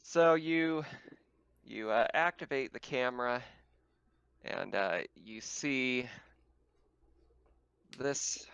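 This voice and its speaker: A man's voice: medium pitch, drawn-out words, creaky, pauses often, fairly bright tone, clean tone, no room sound. A man running a tabletop roleplaying game, narrating casually as game master.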